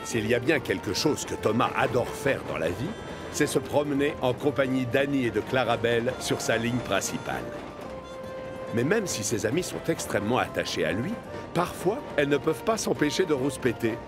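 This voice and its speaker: A man's voice: mid-range voice, expressive, normal speed. A man narrates a children's cartoon in a scripted storytelling style, with a mid-range voice. Music plays behind him.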